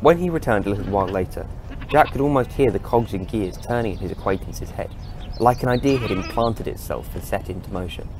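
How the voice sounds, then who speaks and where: A man's voice: fairly low pitch, fairly quick, noisy background. A man, reading scripted narration for an audio story.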